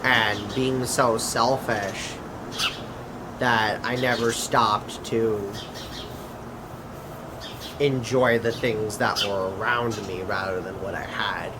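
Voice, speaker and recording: Slow-talking man over noticeable noise. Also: fairly low pitch, steady tone.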